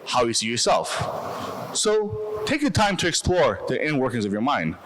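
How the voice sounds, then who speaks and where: Earnest young man, lively measured talk. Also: mid-range voice. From Canada. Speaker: speaker giving a personal talk. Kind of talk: prepared reflective talk.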